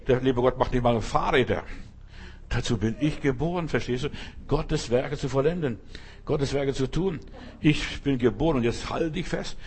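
Husky preacher man, insistent refrains. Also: mid-range voice, a little mellow. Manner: emphatic, repetitive, addresses listener directly. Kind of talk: sermon.

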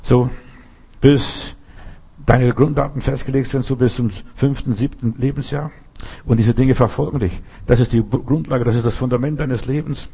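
A man delivers a sermon in a dark, mellow voice with a husky grain. He talks emphatically and leans on rhetorical questions. He often breaks off and restarts his sentences. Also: medium pitch, steady tone, moderate pace. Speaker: preacher.

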